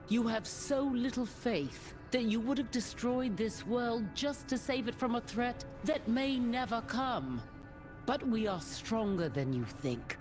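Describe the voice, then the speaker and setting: An actress playing a resolute, weary woman warrior, stern yet compassionate, in solemn video game drama. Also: medium pitch. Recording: music behind the voice.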